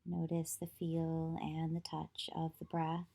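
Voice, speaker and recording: A woman leading a guided meditation as a yoga nidra teacher, soothing and measured, with long pauses between short phrases. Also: deep voice, monotone, vocal fry, warm tone.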